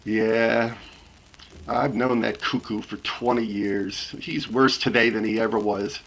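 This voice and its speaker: A man playing a folksy, grumbling sound engineer in a 1930s radio drama, talking in slangy, halting phrases. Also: fairly low pitch, fairly even intonation, vocal fry, a bit bright, a little hiss or hum, heavily acted, poor audio.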